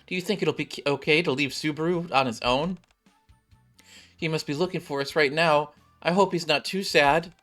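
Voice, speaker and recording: Gentle, courteous game maid sister, male reader, lifted pitch, earnest and soft. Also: moderate pace, faint music behind, lightly acted. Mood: gently worried. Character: gentle, polite, worried young maid sister.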